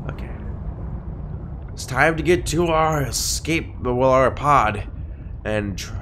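A man with a congested, nasal voice chatting excitedly while playing a game. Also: mid-range voice, noisy background. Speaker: gaming video host. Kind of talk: let's play commentary. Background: game music.

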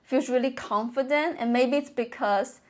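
High-voiced enthusiastic young woman. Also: normal speed. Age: young adult. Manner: enthusiastic, expressive, gushing. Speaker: reaction vlogger. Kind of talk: casual reaction commentary to camera. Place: bedroom, indoors. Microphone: clip-on lapel mic.

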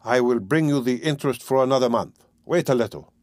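A man's voice: mid-range voice, fairly quick, a little mellow, no room sound, clean studio sound, natural voice. A man voicing a poor, tense young man who talks in a terse, guarded, brooding way.

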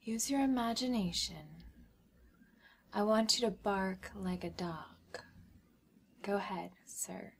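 A woman performing a whispered ASMR hypnosis role-play as a smug, controlling schoolgirl, with deliberate, commanding phrasing. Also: mid-range voice, gravelly, breathy edge.

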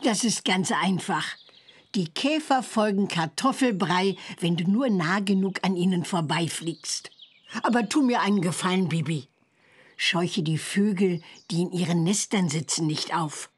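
A woman's voice: on the low side, unhurried, husky. An actress playing a bossy, impatient older witch aunt in a children's cartoon game, giving instructions sternly. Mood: bossy, instructing.

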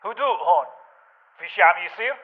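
A man's voice: sits high, animated, brisk. A man with a Levantine Arabic accent playing a watchful, clipped insurgent sentry over a video game radio. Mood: alert, clipped. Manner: clipped, alert. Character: watchful male insurgent sentry reporting to comrades. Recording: narrow, tinny radio-like sound.

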